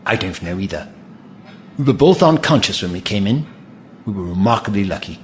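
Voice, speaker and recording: A man playing a caring, curious alien man in an audio drama, precise and gently reassuring with dry wit. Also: lowish voice, lively intonation, fairly quick, a little hiss or hum, close to an everyday voice. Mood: reassuring, wry.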